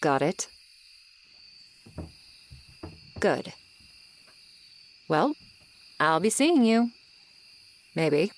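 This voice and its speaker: A woman's voice: lowish voice, sing-song, slight background noise, heavily acted. A woman playing an ageless tsundere swamp monster girl, sardonic and grudgingly kind, in an ASMR roleplay. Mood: grudgingly fond. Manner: slow, sardonic, haughty but softening. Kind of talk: ASMR roleplay acting, addressed to the listener. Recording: night swamp ambience with insects behind. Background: crickets and insect ambience.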